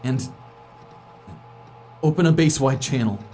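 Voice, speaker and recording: An irritable male astronaut, played by a man in a naturalistic sci-fi audio drama. He has a medium-pitched voice and talks curtly, defensive and sarcastic, often dropping into a mutter. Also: a little slow, dark tone, soft background music, natural voice. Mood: puzzled, urgent.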